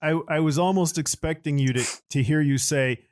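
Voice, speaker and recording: A man's voice: fairly high pitch, normal speed, darkish tone, dry recording, studio quality. A man hosting a podcast, bantering and interviewing guests in a jokey, casual way. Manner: jokey, playful. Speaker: podcast host.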